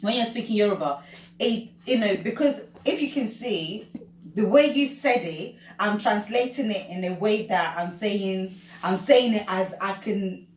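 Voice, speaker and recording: A young woman hosts a relaxed chat, talking in quick questions and breaking into laughs. Her voice sits at a middle pitch. She sounds distant from the mic, in an echoey room. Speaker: host. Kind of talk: casual chat. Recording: roomy, from far off.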